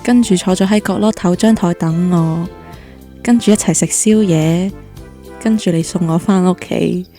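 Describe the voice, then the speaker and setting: An actress playing an earnest, easily flustered young woman in a radio drama, girlish and expressive, with a Hong Kong Cantonese accent. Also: lowish voice, normal speed, faint music behind, lightly acted. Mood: tender, reflective. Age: young adult. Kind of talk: radio drama acting with inner monologue.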